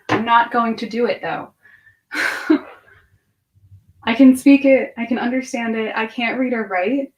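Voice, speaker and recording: A woman's voice: on the high side, low-quality recording. A woman in her twenties chatting casually on a livestream, rambling and half-distracted as she thinks aloud and answers viewers' questions. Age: 20s. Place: room at home with bookshelves.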